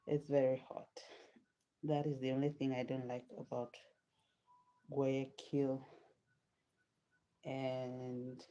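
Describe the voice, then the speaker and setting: A woman's voice: low voice, mellow. A woman from Nigeria chatting casually with viewers during a live hair-styling stream, answering their comments. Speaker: livestreaming hair vlogger. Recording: dull lo-fi sound.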